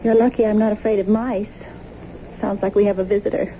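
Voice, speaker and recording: An actress playing a cheerful, polite and curious young wife in a naturalistic radio drama, chatty and easygoing. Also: female voice, mid-range voice, fairly even intonation, a little fast, warm tone, natural voice. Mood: sleepy, chatty. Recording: muffled, noisy old radio broadcast.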